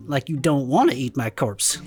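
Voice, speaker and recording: Low-voiced, wry cryptid investigator. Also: female voice, big pitch swings, normal speed, darkish tone, soft background music. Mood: mock offended. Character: laid-back, teasing cryptid investigator co-hosting a club show. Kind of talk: comedic audio fiction banter.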